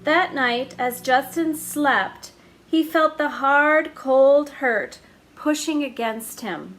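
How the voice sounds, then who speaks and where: Slow, high-voiced woman reading a storybook. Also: a little hiss or hum. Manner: gentle, expressive read-aloud with drawn-out emphasis. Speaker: children's storyteller. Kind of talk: reading a picture book aloud to children.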